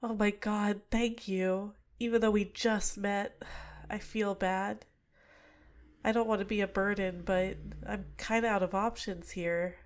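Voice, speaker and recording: A young woman playing a scheming, manipulative villainess in an ASMR roleplay, speaking softly and close to the mic. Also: mid-range voice, steady tone, measured pace, natural voice. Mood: shy, apologetic.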